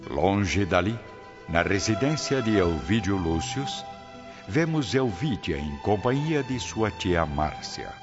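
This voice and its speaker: A man's voice: deep voice, sing-song, slow pace, heavily acted. A man with a Brazilian accent giving dramatic scripted narration for a radio drama. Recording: dull radio drama, loud music behind.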